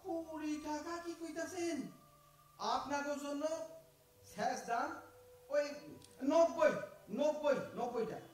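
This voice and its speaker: An actor playing a cheeky, smooth-talking fruit thief with an East Bengali accent, stammering and theatrical in an audio drama. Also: male voice, very high voice, slight background noise. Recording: echoey room from far off.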